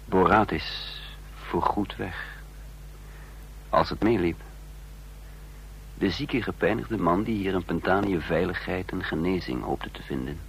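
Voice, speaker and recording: An actor playing a stern intelligence chief who also narrates a radio drama, grave, deliberate and authoritative. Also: male voice, deep voice, a little hiss or hum, rough recording. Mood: grave, haunted. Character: commanding intelligence chief, coldly furious, remorseful narrator. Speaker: narrator and lead character. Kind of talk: dramatic radio play acting.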